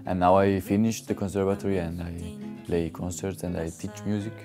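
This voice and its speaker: Very deep-voiced young Italian man. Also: fairly even intonation, brisk. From Italy. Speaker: musician and music teacher. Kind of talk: casual interview. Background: background guitar music.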